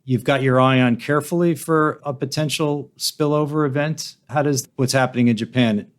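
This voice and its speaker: Male interviewer, medium pitch, discursive conversational delivery for a markets podcast. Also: fairly even intonation, moderate pace.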